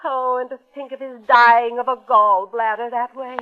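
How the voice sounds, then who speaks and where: An actress playing a gushing, affected, talkative older widow in a vintage radio drama, in a muffled old recording. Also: female voice, fairly high pitch, fairly even intonation, moderate pace, close to an everyday voice. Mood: coquettish, gushing. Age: middle-aged. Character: fluttery, coquettish, chattering older widow, former stage performer. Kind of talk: 1940s radio acting.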